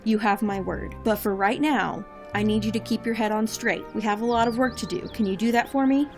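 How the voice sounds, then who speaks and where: A woman acting as a determined agent heroine in an audio drama, brisk and dry. Her voice sits fairly high and carries authority, with music clearly playing behind it. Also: steady tone, lightly acted. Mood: resolute. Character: determined, commanding heroine agent, dryly patient. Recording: background music under the voice.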